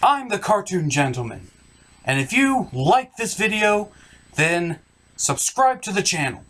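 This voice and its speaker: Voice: mid-range voice, sing-song, a little slow, fairly bright tone. A reviewer delivering a scripted comedic review in a sardonic, emphatic way, as the host of the show.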